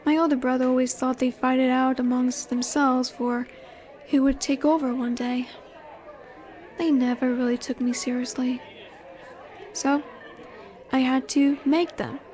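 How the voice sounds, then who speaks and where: Woman acting a high-voiced, slow-teasing werewolf alpha girl. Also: little pitch movement, a little mellow. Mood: proud, confiding. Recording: bar crowd ambience behind voice. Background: bar chatter ambience.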